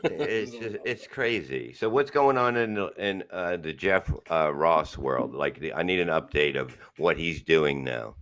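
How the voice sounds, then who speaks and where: A man's voice: rumbling low, lively intonation, measured pace, hardly any pauses, fairly bright tone. A man hosting a comedy podcast, trading crude, joking banter in casual chat. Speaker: podcast host.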